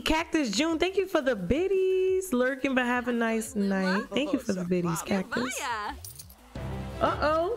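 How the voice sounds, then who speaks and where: A young woman livestreaming a life-sim game, chatting casually with her viewers in a lively, joking, profane way on a headset mic. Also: on the high side. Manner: lively, joking, talks back to chat, profane slang. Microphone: headset mic at desk. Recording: game music playing behind.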